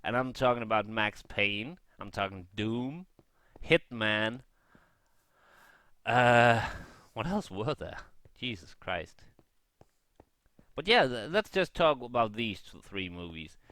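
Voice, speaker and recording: A man giving a casual, rambling review as a gaming vlogger, unscripted and halting, thinking aloud as he goes. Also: fairly low pitch, lively intonation, a bit bright, dry recording, clean studio sound.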